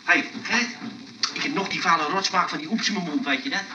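A man's voice: a little high, fast talker, muffled recording, natural voice. An actor playing a muddled, cheeky young man who blurts out his words in a Dutch radio comedy. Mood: grumbling. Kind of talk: Dutch radio play acting. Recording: distant, noisy old radio recording.

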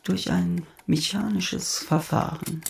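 Lecturing mathematician, gravelly, roomy echo, measured radio-play monologue. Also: male voice, sits high, steady tone, slight background noise, heavily acted. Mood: expounding. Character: mathematician expounding his imagined computing machine. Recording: roomy echo with faint music.

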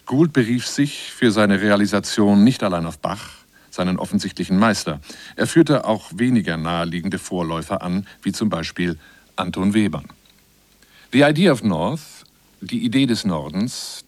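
A man reading scripted documentary narration in a measured, formal way. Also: lowish voice, a little mellow, no echo. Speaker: narrator of a radio feature.

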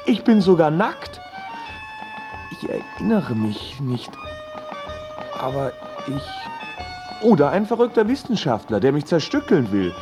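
Verwirrter, träumerischer Mann, stark schwankende Tonhöhe. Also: male voice, sits high, wildly swooping pitch, a little slow, pauses often, over music, full character voice. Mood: bewildered, musing. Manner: theatrical, musing aloud. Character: bewildered, dramatic husband, dreamy and fearful. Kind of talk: radio drama monologue.

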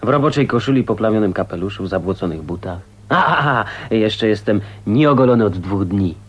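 Wry, lively scruffy bachelor. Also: male voice, fairly low pitch, a little fast, breathy edge, highs cut off. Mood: teasing, sincere. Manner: jovial, chuckling, hesitant fillers, teasing. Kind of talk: radio drama acting. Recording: noisy 1980s radio recording.

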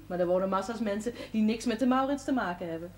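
A woman's voice: mid-range voice, quick pace, darkish tone, lightly acted. A woman playing a resigned, matter-of-fact young wife and mother in a naturalistic television drama. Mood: polite, chatty. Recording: noisy old television drama soundtrack.

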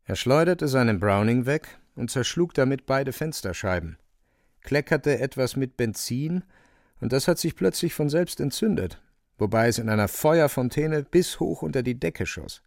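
A man's voice: medium pitch, expressive, moderate pace, gravelly, no echo, clean studio sound. A man narrating a literary radio play as a wry, vivid storyteller with dramatic delivery.

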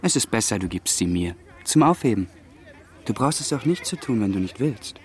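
A cocksure young gentleman at boarding school in a radio drama. He is breezy and coaxing, with a very animated melody and a patronising manner. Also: fairly low pitch, normal speed, breathy edge, a faint crowd. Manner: breezy, coaxing, self-assured. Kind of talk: German radio drama acting.

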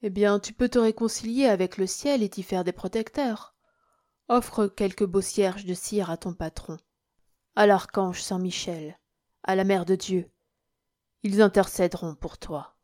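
A woman voicing a kindly, shrewd male village priest in an audiobook, gently admonishing and measured. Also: medium pitch, lightly acted. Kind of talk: audiobook character acting.

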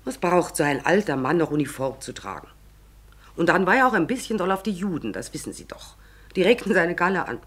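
A woman's voice: on the low side, animated, brisk, fairly bright tone, husky. An actress playing a brusque, callous, gossipy woman tenant in a 1940s German radio drama, blunt, offhand and sardonic.

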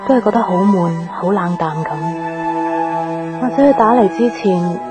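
A woman's voice: mid-range voice, moderate pace, close to an everyday voice. A woman acting a lonely, doubtful young girlfriend in a reflective radio drama monologue, with a Hong Kong accent. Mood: wistful. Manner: reflective, melancholy. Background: music.

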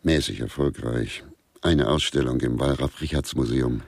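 A wry literary man in a radio drama. His very deep voice is husky and recorded dry and close. He speaks with pedantic, deadpan relish, savouring every list. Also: normal speed, no echo, full character voice. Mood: wry, musing. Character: wry male writer with writer's scruples, gossiping about suspects. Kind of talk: German radio play dialogue.

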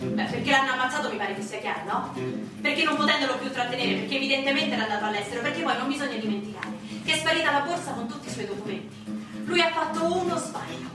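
A woman's voice: high-pitched, fast talker, natural voice. An actress playing a banker's mature widow in a theatrical interview, guarded, defiant and bitterly loyal to her dead husband. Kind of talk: theatrical stage interview dialogue. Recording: very echoey, distant, music under the voice.

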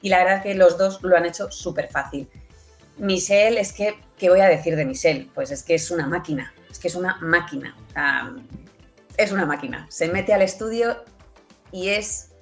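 A woman's voice: lowish voice, moderate pace, faint music behind. A woman answering as a guest in a conversational interview, in stop-start phrases dotted with checking tags. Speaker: interview guest, audio fiction producer.

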